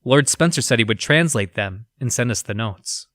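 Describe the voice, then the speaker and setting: An actor playing an educated, haunted research scientist, reading his journal for an audio drama in a formal, measured, clinical manner. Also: medium pitch, expressive, fairly bright tone, dry recording, clean studio sound, lightly acted. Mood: dutiful.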